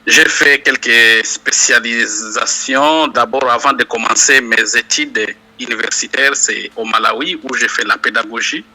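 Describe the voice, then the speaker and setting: A man answers questions at an unhurried, halting pace, filling gaps with drawn-out hesitations. His voice is very bright and sharp, in a middling register. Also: mid-range voice, low-quality recording. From DR Congo, South Kivu. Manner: slow, hesitant, many fillers. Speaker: interview guest, university lecturer. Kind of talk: interview answers.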